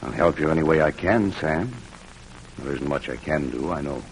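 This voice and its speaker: Fatherly chaplain, bass voice, compassionate, sorrowful, vintage radio drama, slight noise. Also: normal speed, poor audio. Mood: sorrowful, gentle. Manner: soft-spoken, compassionate, solemn. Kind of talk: old-time radio drama acting.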